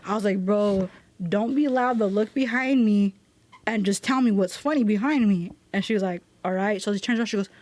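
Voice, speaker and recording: A woman's voice: medium pitch, a little fast, darkish tone. A woman and tattoo artist in a casual chat, laid-back and adding quips to a shared story.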